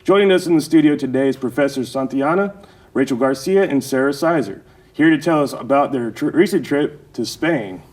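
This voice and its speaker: A man's voice: mid-range voice, brisk, darkish tone. A man hosting a college studio interview, asking questions in a friendly, conversational way. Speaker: host.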